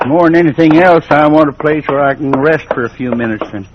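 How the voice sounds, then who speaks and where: A man's voice: on the high side, a little fast, natural voice. Male actor as older cowboy, tired, uneasy, folksy; vintage radio drama, lo-fi with light hiss. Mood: uneasy, humble. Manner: hesitant, folksy, polite. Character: weary, uneasy older cowboy, humble and haunted. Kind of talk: 1950s radio western acting. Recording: muffled, faintly noisy old radio recording.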